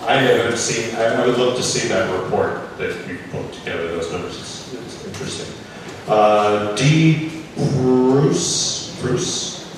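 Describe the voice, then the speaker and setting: A man's voice: mid-range voice, slow pace. A man chairing a board meeting, speaking briefly and politely with some hesitation, in a large meeting hall. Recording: echoey hall from far off, crowd and noise.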